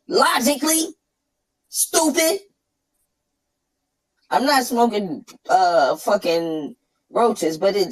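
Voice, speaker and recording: Man on a squeaky chipmunk voice filter. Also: low-quality recording. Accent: New York. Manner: cocky, profane, taunting. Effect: pitch-shifted chipmunk voice. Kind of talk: brash trash-talk rant.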